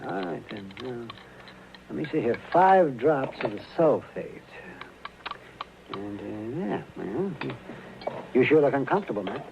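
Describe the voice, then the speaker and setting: An actor playing a crotchety, excitable old town doctor in a 1950s radio western, with a fussy, testy, muttering delivery. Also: male voice, mid-range voice, measured pace, creaky, long pauses. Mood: testy, fussing. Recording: dull, noisy old radio recording.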